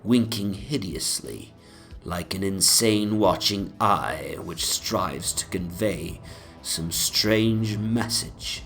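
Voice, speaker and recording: A man's voice: takes it slowly, creaky, fairly bright tone, faint music behind. A man giving a theatrical, brooding and anguished dramatic reading of literary horror. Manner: theatrical, archaic phrasing, brooding and anguished first-person delivery. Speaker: dramatic reader of a horror story. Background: soundscape rumble.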